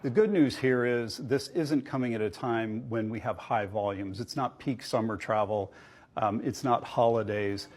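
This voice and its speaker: A male executive gives interview answers in a reassuring corporate manner. His voice has an ordinary middle pitch. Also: normal speed, darkish tone. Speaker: airline executive being interviewed.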